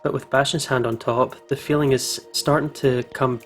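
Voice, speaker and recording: A man narrating a visual novel in an expressive way, as a playful gaming YouTuber. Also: mid-range voice, normal speed, soft background music.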